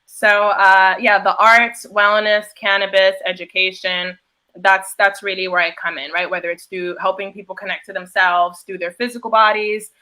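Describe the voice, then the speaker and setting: A woman's voice: medium pitch, level tone, moderate pace, sharp tone, poor audio. A woman from New York speaking informally as a panelist, introducing herself and her work. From Bronx, New York, United States. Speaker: panelist, yoga teacher and cannabis educator.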